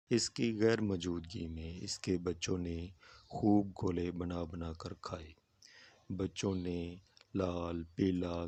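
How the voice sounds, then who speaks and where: A man narrating a scripted moral tale for a cartoon in a simple, deliberate storytelling manner. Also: sits low, a little mellow.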